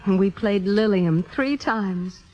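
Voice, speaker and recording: An actress playing a humble, earnest young American theater devotee in 1950s radio drama, with a hesitant, wistful delivery. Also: female voice, mid-range voice, slow pace, natural voice. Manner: hesitant, self-effacing, wistful. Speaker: radio actor. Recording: muffled 1950s radio recording, music behind.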